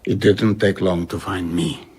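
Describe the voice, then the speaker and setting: A reflective middle-aged traveller in a radio drama. His voice is low and husky, and he tells his past with measured regret. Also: little pitch movement, darkish tone, full character voice. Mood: sombre. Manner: measured, rueful storytelling. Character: reflective older traveller recounting his rebellious past, rueful.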